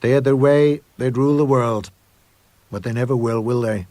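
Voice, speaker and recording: A man's voice: medium pitch, fairly even intonation, moderate pace, mellow, dry recording, lightly acted. A man voicing a chatty, smugly opinionated building foreman in a story reading, with a familiar, jokey grumble. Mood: wry, familiar.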